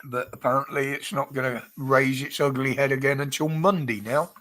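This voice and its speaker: A man's voice: medium pitch, fairly even intonation, normal speed, a bit bright. A British man hosting a casual livestream chat, his nose blocked up, chatty and greeting viewers by name with frequent chuckles. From United Kingdom. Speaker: livestream host.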